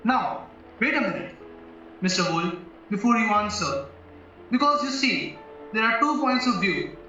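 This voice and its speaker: A man acts out a probing defence barrister in an audio drama, shrewd, formal and deliberate. His voice is high and forceful. The recording is dull and very echoey, as if far from the mic, with music behind. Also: very animated, full character voice. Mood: serious, deliberate. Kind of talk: stage-style courtroom audio drama acting.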